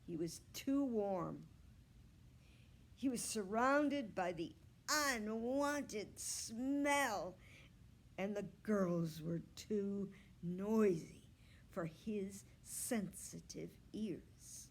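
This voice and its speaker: A woman reads a children's story aloud at a slow, unhurried pace. Her voice sits at a medium pitch, and she tells it expressively, stretching words out with deliberate care. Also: animated. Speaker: children's story reader, library staff. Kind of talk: scripted read-aloud of a children's novel.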